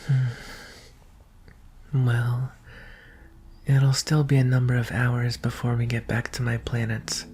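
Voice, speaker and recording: A man playing a gentle, vulnerable young alien prince in an ASMR roleplay, speaking softly and hesitantly, often in a whisper. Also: medium pitch, monotone, a little hiss or hum, natural voice. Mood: shy, tender. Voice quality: soft, breathy, often whispering. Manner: hesitant, earnest, slow with long pauses. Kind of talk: ASMR roleplay, soft intimate acting.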